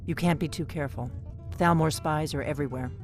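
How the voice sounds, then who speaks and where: A woman's voice: low voice, fairly quick, faint music behind. Cautious female innkeeper spy in a video game; conspiratorial, urgent, faint score underneath.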